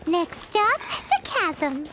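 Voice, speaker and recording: Squeaky, tiny, gleeful game sidekick. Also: female voice, very animated, extremely slow, sharp tone, heavily acted. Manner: squeaky, gleeful, sing-song. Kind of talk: video game character acting. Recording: game music behind the voice.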